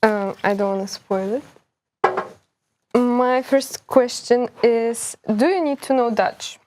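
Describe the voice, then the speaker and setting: A woman's voice: a little high, takes it slowly, background noise. Eager young woman guest from Poland. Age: young adult. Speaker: guest student. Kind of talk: casual chat.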